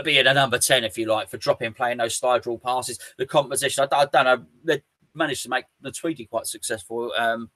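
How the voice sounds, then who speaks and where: A man's voice: mid-range voice, a bit bright, no echo. A man hosting a casual football fan show, reading out listener comments and humming fillers between them. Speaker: fan podcast host.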